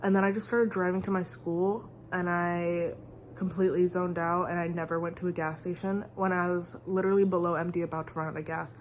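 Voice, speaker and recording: A woman's voice: fairly low pitch, level tone, a little fast, slight background noise. A young woman vlogging in casual, rambling chat, sniffly and full of "like". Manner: rambling, chatty, heavy use of "like".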